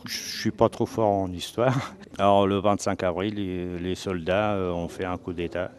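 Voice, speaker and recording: A very low-voiced man in his fifties, born in Portugal, answers a radio interviewer. He talks in a hesitant way, pausing and restarting his sentences. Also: darkish tone. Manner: hesitant, many fillers and restarts, unpolished. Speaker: president of a community association. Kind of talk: interviewed guest.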